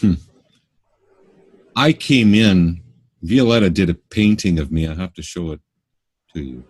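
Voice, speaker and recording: A man's voice: low-pitched, lively intonation, a little slow, darkish tone, husky, no echo. A middle-aged man from Canada, a spiritual workshop teacher, answering interview questions earnestly, often restarting his sentences.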